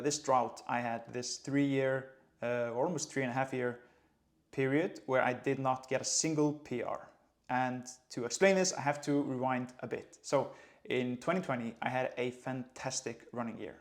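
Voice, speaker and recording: A man in his late twenties from Iceland, a running coach speaking English with an Icelandic accent in a relaxed, conversational vlog. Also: mid-range voice. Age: late 20s. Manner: relaxed, conversational, light laughs. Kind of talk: casual vlog talk to camera.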